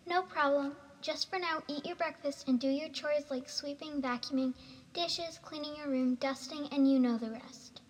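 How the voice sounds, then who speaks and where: Someone playing high-voiced stern mother, echoing. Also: fairly even intonation, normal speed, lightly acted. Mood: matter-of-fact. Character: stern, practical mother of a schoolboy. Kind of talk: amateur radio drama acting. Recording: noisy, long reverberant hall sound.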